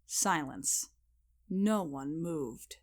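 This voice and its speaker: A woman giving a scripted, expressive and deliberate dramatic narration of a story. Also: deep voice.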